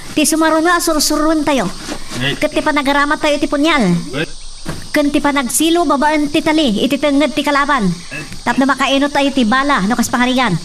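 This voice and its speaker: A determined young daughter, played by a woman speaks earnestly in a radio-style drama, as if instructing. Her high voice is a little distant, with clear chirping noise. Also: steady tone, brisk, fairly bright tone. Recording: distant, noisy with chirping insects.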